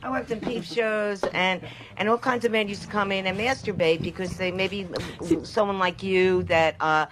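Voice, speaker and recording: A woman's voice: mid-range voice, fairly even intonation, moderate pace. Blunt woman in a noisy room. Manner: blunt, probing questions. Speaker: radio show guest.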